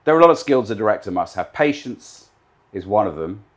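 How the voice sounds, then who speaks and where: A man's voice: fairly low pitch, lively intonation, brisk. A man in his fifties, raised in Britain, a live TV director answering interview questions confidently, often stacking points in emphatic lists.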